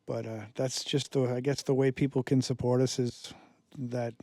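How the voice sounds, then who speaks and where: A man guesting on a radio show speaks in a relaxed, halting way, pausing often with fillers. His tone is mellow and dark. The studio sound is dry and close. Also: mid-range voice, no echo. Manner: halting, many fillers and restarts. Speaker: musician guest. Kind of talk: casual radio interview.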